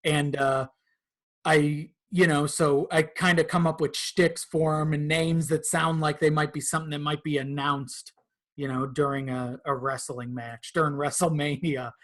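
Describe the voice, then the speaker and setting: A man's voice: on the high side, flat delivery, moderate pace, a bit bright. A man talking casually as a podcast guest about his creative work. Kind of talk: casual chat.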